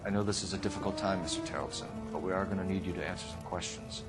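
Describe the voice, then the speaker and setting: Game cutscene detective, played by a man: formal, brisk, fair-minded, slightly low voice, music behind. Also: fairly even intonation, natural voice. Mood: grave, polite. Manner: clipped, official, courteous. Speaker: police detective. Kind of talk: video game cutscene acting.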